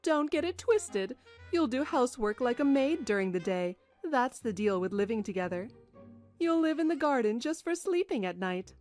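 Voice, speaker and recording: A haughty older mother-in-law voiced by a woman, very high in pitch, spiteful and bossy. It is a manga drama with music in the background. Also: big pitch swings, moderate pace, darkish tone. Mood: haughty, scornful. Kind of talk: manga dub drama acting. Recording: music behind the voice.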